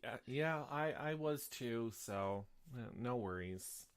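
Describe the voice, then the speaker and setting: Brief: male host of an easygoing live chat show, mid-pitched, sniffly, rambles with fillers. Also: expressive, slow pace, clear, resonant tone. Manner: rambling, many fillers and restarts. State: sniffly with a slight cough.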